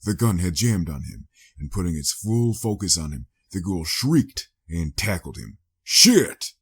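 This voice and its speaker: A man's voice: low voice, lively intonation, normal speed, darkish tone, breathy edge. A man reading a scripted story as a dramatic audiobook narrator.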